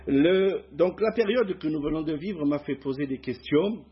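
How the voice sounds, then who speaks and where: A middle-aged man with a southern French accent, a guest preacher giving a sermon in church, hesitant with many pauses. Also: a little high, dull audio. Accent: southern French (Provence). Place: church hall before a masked congregation.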